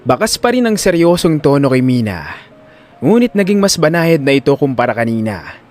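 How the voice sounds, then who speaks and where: A man with a Filipino accent narrating a scripted audio drama in a dramatic storytelling style. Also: fairly high pitch.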